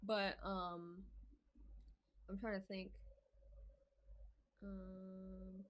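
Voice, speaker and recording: A young woman, a guest on a casual podcast, talks in a soft, hesitant way. She pauses often on fillers and giggles easily. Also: mid-range voice, flat delivery, a little hiss or hum. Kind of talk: casual chat.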